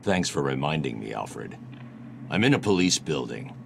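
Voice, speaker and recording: Grim masked vigilante, very deep, gravelly, clipped. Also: male voice, big pitch swings, moderate pace, noisy background, heavily acted. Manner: terse, clipped.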